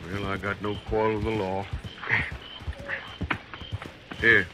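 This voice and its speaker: An actor playing a proud, earnest frontier blacksmith accused of a crime, in an old Western radio drama with a faintly noisy sound. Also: male voice, lowish voice, little pitch movement, fairly quick, natural voice. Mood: guarded. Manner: earnest, plainspoken, rustic.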